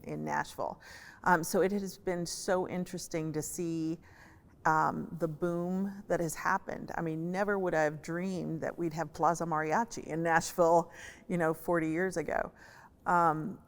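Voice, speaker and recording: A woman born in Colombia, a judge taking part as a guest, speaking in a measured way with frequent pauses. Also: sits low. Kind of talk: conversational discussion. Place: large library reading room. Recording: echoey library reading room.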